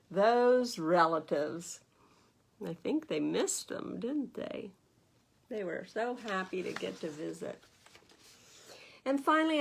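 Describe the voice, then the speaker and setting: A woman reads a picture book aloud to young children as a storytime librarian, expressively, with gentle conversational asides and questions. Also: medium pitch, vocal fry.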